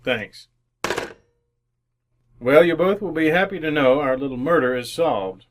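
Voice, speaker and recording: Man playing a measured, formal police inspector. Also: on the high side, lively intonation, slight background noise. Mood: coolly accusing. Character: shrewd, methodical male police inspector, coolly accusing. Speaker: amateur radio actor. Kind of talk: old-time radio mystery acting.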